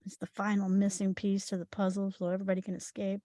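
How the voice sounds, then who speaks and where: A woman's voice: mid-range voice, monotone, fast, mellow, no echo, clean studio sound. A woman discussing a script at a table read, thinking aloud and building her ideas as she goes.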